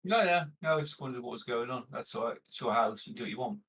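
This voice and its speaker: A man's voice: medium pitch, lively intonation, fast talker, fairly bright tone, slight background noise. A man playing a tabletop game, talking in an informal, plain-spoken way. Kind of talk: casual in-character roleplay chat.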